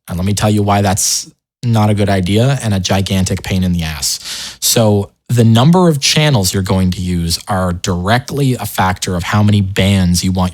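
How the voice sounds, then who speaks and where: A man with a fairly low voice presents a software tutorial. He talks casually, as if to friends, dropping in wry asides. He often breaks off his own sentences to add something. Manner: informal, self-interrupting, wry asides. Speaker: tutorial host.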